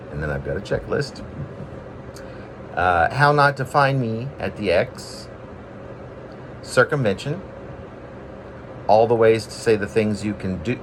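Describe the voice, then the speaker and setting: Male poet, informal reader, lowish voice with big melodic swings, rambling and self-mocking, clearly noisy recording. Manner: self-deprecating, rambling, playfully dramatic. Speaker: poet reading own work. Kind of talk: informal poetry reading with chatty asides.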